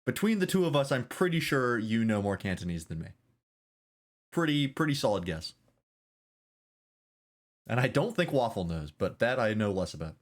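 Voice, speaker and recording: A man's voice: medium pitch, very animated, normal speed, long pauses. A man streaming a game, chatting casually and rambling with self-deprecating jokes.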